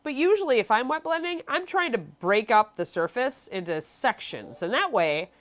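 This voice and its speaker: A woman's voice: a little high, sing-song, normal speed, a bit bright. Sniffly woman teaching miniature painting. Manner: chatty, reads chat questions aloud, frequent ums. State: sniffly from allergies. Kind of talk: live-streamed painting tutorial.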